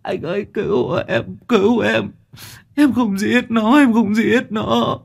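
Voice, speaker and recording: A man voicing a terrified young man who stammers and begs in a high, whimpering voice. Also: lively intonation, takes it slowly, creaky, exaggerated voice. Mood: begging. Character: terrified young drug-addled man, pleading.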